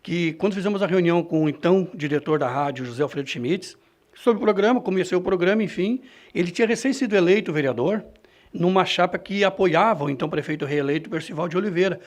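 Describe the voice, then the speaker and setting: A man answering interview questions about his own experience, in a halting, self-correcting way. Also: sits high. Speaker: radio reporter and presenter being interviewed. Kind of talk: reflective interview answer, personal anecdotes.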